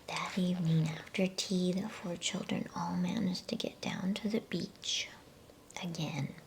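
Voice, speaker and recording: A woman reading a bedtime storybook as ASMR in a hushed, unhurried, breathy whisper. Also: lowish voice.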